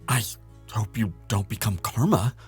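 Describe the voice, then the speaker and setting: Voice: medium pitch, animated, a little slow, husky, faint music behind. A performer playing a nervous, kind lab assistant in an audio roleplay drama, hesitant and stammering. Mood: flustered.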